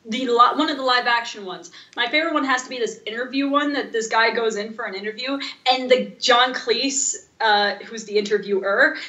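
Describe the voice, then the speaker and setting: Female podcast co-host, higher-pitched bright voice, casual conversational delivery, roomy echoing recording. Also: a little fast, few pauses, lo-fi sound. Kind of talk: casual chat.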